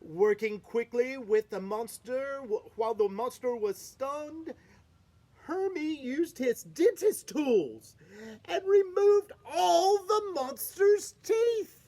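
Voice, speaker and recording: Woman reading a kids' story, slow pace, lively rising and falling voice, expressive. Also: high voice. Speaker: storybook reader. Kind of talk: children's read-aloud narration.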